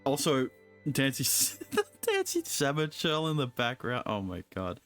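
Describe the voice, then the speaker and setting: Very low-voiced woman streaming a game. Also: wildly swooping pitch, measured pace, fairly bright tone, faint music behind. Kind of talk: casual live game commentary.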